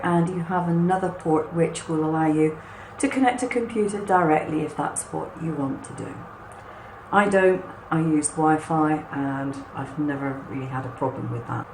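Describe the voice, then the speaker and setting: A hoarse, husky woman with a low voice gives a reassuring, step-by-step tutorial. She talks in an easy, chatty way. The room rings with echo and has a faint background hiss. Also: darkish tone. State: hoarse and husky after a cough. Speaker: craft channel host. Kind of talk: hands-on product walkthrough.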